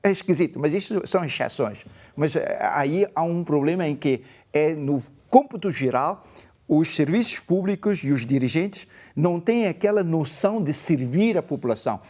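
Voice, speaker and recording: A man's voice: a little high, lively intonation, moderate pace. Emphatic man in a TV debate. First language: Portuguese. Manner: forceful, emphatic arguing. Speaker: election candidate. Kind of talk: televised political debate. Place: television studio.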